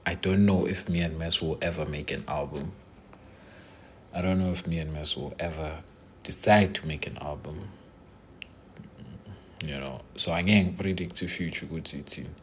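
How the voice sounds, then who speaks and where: Young south african guy on a phone live stream, real low voice, chill and jokey. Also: normal speed, pauses often, slight background noise. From Limpopo, South Africa. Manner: laid-back, joking, mixes English with Zulu slang. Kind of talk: casual live stream chat answering viewers.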